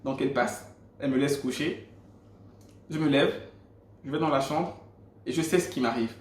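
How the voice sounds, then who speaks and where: Gabonese man telling a testimony, roomy sound. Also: mid-range voice. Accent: Gabonese French. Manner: earnest storytelling with frequent restarts. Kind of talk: personal faith testimony. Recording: roomy echo.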